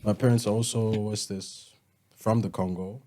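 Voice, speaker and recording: A man's voice: lowish voice, steady tone, a little slow, dark tone. A young man in his twenties from South Africa, chatting loosely on a podcast with dry one-liners. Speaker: podcast co-host. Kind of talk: casual chat.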